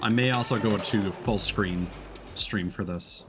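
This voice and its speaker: A man's voice: lowish voice, a little slow. A man giving casual livestream commentary while playing a video game. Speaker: streamer. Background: game music.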